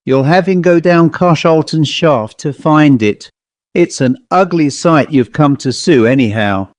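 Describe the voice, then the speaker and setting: A man's voice: on the high side, a little slow, natural voice. Man voicing a hearty, confident Victorian squire. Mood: hearty, curious. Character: jovial, confident country squire in a Victorian ghost story. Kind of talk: audiobook character reading.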